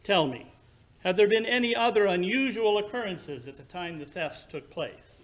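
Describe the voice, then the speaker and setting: A man playing a brisk, analytical, self-assured English gentleman detective in an old-time radio drama, with precise, formal, theatrical speech. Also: sits high, lively intonation, low-quality recording. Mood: inquisitive.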